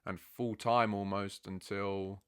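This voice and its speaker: A young man from England answers viewer questions in a laid-back, chatty manner. His voice sits low and he often calls the listener "bro". He sounds casual and talkative throughout. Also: little pitch movement, takes it slowly, clean tone. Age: 20s. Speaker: football content creator. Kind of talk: casual Q&A chat.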